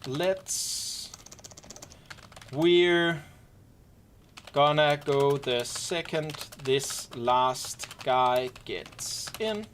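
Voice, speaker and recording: A man live-streaming, gently and casually explaining game mechanics to his squad with wry asides. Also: a little high, a little hiss or hum. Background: faint keyboard typing and clicks.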